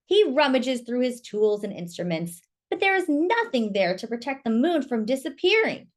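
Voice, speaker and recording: A woman storyteller reading a picture book aloud to children, pausing to ask listeners questions and comment on the pictures. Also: on the high side, very animated.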